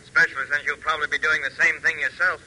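A man acting as a gruff, defeated airfield operator and war veteran in a 1940s radio drama, with a husky voice. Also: on the high side, little pitch movement, quick pace, bright, forceful tone, exaggerated voice, low-quality recording. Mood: guarded, resigned.

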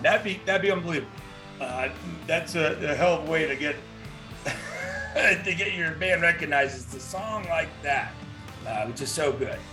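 High-voiced enthusiastic male podcast host. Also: sharp tone. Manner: enthusiastic, laughs, slangy. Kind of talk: casual interview chat.

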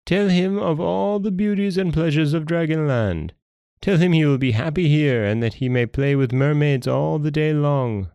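A man's voice: fairly high pitch, normal speed, gravelly, warm tone, smooth, no echo, voice pushed up. A man voicing a commanding, hot-tempered dragon king in a storybook tale, with an imperious manner. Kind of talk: storybook character voice.

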